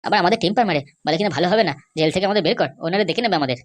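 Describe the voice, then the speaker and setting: A woman's voice: fairly low pitch, expressive, racing. A woman voicing a sly, insistent male schemer and constable in an amateur comedy cartoon. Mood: scheming, gossipy.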